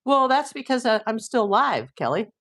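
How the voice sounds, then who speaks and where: A woman's voice: on the high side, very animated, normal speed, a bit bright. A middle-aged woman hosting a casual livestream, chatting and reading viewer comments while losing her voice. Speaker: livestream host.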